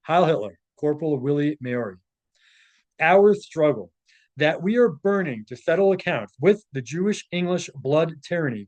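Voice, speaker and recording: A male presenter with a moderately high-pitched voice reads prepared text aloud. He slips informal asides into the reading and can be halting when he meets unfamiliar names or words. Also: a little mellow, poor audio.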